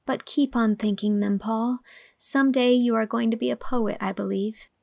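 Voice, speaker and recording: A woman voicing a gentle young woman teacher, tender, earnest and reassuring, in an audiobook drama. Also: a little high, steady tone, normal speed, vocal fry, no room sound, clean studio sound. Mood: tender, encouraging.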